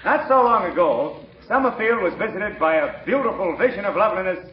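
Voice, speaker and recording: A lovestruck suitor in a vintage radio comedy. He speaks high and florid, proudly declaiming. The old recording is echoey and muffled. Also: lively intonation, fairly quick. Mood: proud, elated. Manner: flowery, declamatory. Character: proud, lovestruck suitor making a grand announcement. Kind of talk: 1940s radio comedy acting.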